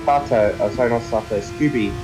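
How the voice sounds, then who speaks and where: Male co-commentator over clear music. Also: mid-range voice, brisk, poor audio. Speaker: race co-commentator. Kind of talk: sim racing commentary.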